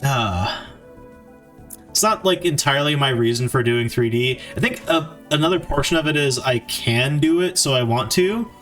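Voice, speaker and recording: A man's voice: medium pitch, expressive, fairly bright tone. Self-deprecating male streamer, music behind him. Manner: informal, self-deprecating, halting thinking aloud. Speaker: game developer streamer. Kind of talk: casual live-stream chat while coding.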